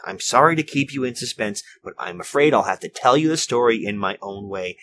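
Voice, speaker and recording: A man's voice: mid-range voice, expressive, fairly quick, a bit bright, a little hiss or hum, lightly acted. An actor playing a fussy, orderly, mild-mannered man with a prim, hesitant, polite manner in a retro radio drama. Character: fussy, orderly, mild-mannered male workshop owner recounting a murder.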